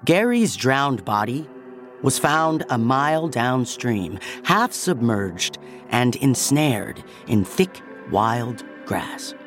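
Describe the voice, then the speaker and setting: An actor playing a storyteller who narrates a detective case file deliberately, with dramatic pauses, in an audio drama. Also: male voice, medium pitch, very animated, a bit bright, faint music behind. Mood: grave, measured. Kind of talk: scripted case-report narration.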